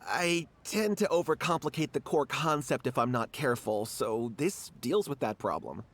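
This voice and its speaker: A calm expert writer. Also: male voice, medium pitch, moderate pace, slight background noise. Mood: agreeable. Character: adult male writer on an expert team, calm. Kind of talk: video game voice acting.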